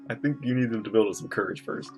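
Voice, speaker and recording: A woman streamer reacting to a game live, playful and excitable, with quick asides, game music faintly behind. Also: a bass voice, low-quality recording. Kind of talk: casual live reaction chat.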